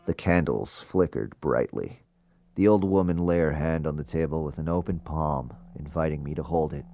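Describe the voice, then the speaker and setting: A man's voice: a bass voice, moderate pace, mellow, no room sound. An actor playing a ghost hunter who narrates his investigation in a scripted first-person audio drama. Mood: measured, tense.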